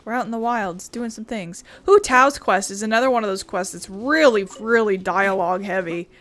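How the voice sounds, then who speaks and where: A woman's voice: mid-range voice, moderate pace, fairly bright tone, no echo. A woman doing casual, rambling video game commentary with playful asides. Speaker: streamer playing a video game. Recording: game music behind voice.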